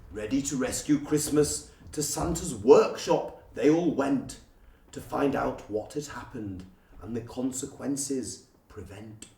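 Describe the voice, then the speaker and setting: A man reading a rhyming storybook as a theatrical, expressive storyteller. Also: medium pitch. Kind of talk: scripted rhyming storybook narration. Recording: roomy, distant from the microphone.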